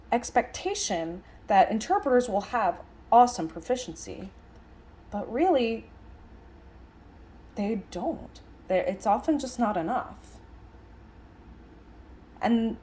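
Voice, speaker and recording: A woman voicing a lecture as an interpreter, conversational, speaking in halting phrases with stress on key words. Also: mid-range voice, warm tone. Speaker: sign language interpreter voicing a signed lecture.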